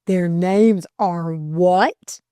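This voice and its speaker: A woman plays a storybook gentleman, young, wry and used to command. He speaks slowly, with incisive emphasis and amusement, in a dry recording. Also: medium pitch, animated, no room sound. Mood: amused scorn.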